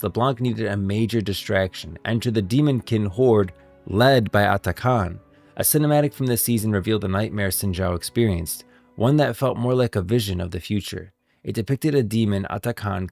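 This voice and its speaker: A man's voice: lowish voice, creaky, a little mellow. A man hosting a stream of game lore commentary, moving between casual talk and scripted reading. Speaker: streamer, host.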